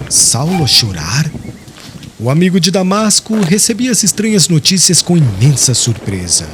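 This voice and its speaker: A man's voice: a little high, a little hiss or hum. A man narrating a literary audiobook in a solemn, dramatic storytelling style.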